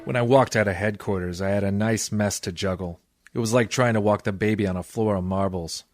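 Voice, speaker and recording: A man playing a wisecracking, cynical hard-boiled private detective, sardonic and deadpan in old-time radio style. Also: lowish voice, fairly quick, soft background music, close to an everyday voice. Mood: wry, weary narrating.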